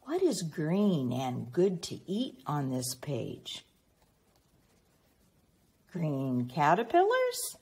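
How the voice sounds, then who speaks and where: A woman reading a picture book aloud expressively to young children, prompting them with teacherly questions and pauses. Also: sits low, huge pitch swings, darkish tone, slightly rough. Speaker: children's read-aloud reader.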